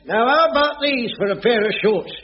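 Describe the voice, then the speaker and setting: An actor playing a fussy, blustering old caretaker who stammers and exclaims in a radio sitcom. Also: male voice, very high-pitched, animated, fairly quick, very noisy. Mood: keen. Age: older. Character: fussy old male caretaker, eager about scouting. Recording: dull old radio recording, distant, studio audience.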